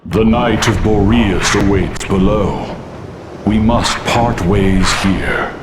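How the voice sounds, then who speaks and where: A man's voice: fairly low pitch, slow pace, echoey room, noisy background. A man voicing a solemn, stately ancient dragon in a fantasy video game, speaking formally. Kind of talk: dramatic game acting.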